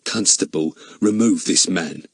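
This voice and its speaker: A man voicing a thin elderly man who speaks curtly and shouts. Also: sits low, unhurried, creaky, slightly rough, no echo, studio quality, exaggerated voice. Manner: curt, shouting.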